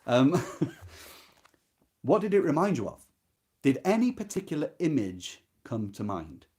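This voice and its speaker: A man's voice: fairly high pitch, very animated, unhurried, darkish tone. A man hosting a literary readalong, reading and explaining with engaged emphasis, repeated key words and rhetorical questions. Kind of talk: book discussion with expressive read-aloud passages.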